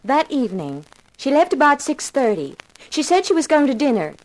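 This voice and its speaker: High-voiced witness, lively pitch. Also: female voice, normal speed, poor audio. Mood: subdued, formal. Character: reserved female witness, friend of the murdered woman. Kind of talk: 1950s radio drama acting.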